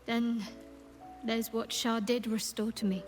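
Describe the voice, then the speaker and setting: Actress doing a wounded but stubborn young woman from a game, highish voice, guarded, opening up. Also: level tone, measured pace, soft background music, natural voice. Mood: haunted, sorrowful. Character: wounded but defiant young woman, haunted former cultist. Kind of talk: video game dialogue acting.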